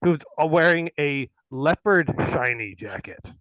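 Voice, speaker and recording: Bright-voiced man chatting on a stream. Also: fairly high pitch, lively intonation, a little slow, dry recording, poor audio. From Canada. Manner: laughing, joking, bursts into shouting. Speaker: stream co-host playing clips. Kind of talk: casual chat.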